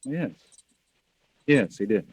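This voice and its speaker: An actor playing a scheming, impatient husband in an old-time radio thriller, coaxing and brusque. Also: male voice, medium pitch, lively intonation, slow, darkish tone, breathy edge. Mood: smooth, coaxing. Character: scheming, impatient husband secretly poisoning his wife.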